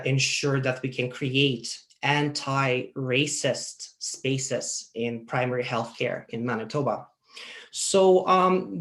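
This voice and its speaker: A man giving an academic conference presentation, earnest and measured, with frequent ums and uhs. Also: medium pitch, creaky.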